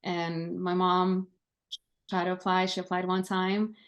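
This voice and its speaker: Lithuanian woman, english not her first language, lowish steady voice, chatting on a video call. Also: a little slow. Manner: steady, many fillers and restarts. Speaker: guest, Army veteran. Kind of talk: casual interview storytelling. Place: home office.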